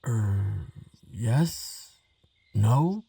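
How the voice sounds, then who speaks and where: A man playing a nervous, kindly, chatty researcher in an audio roleplay, hesitant and gentle, rambling with small stammers. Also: on the low side, animated, very slow, gravelly, breathy edge, dry recording, far from the mic, heavily acted. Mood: gentle, fussing. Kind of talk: audio roleplay monologue addressed to the listener.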